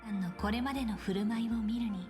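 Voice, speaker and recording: A woman voicing a poised, formal young negotiator in a game, speaking in very polite, measured phrases. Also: fairly high pitch, lightly acted. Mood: composed. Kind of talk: Japanese game voice acting. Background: game music.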